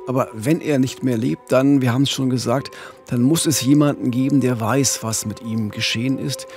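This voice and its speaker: Gravelly German man hosting a podcast. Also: mid-range voice, steady tone, moderate pace, a little mellow. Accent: Standard German. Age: 50s. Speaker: podcast co-host. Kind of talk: true-crime podcast hosting. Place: small indoor recording studio. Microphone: close studio microphone on boom arm. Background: music.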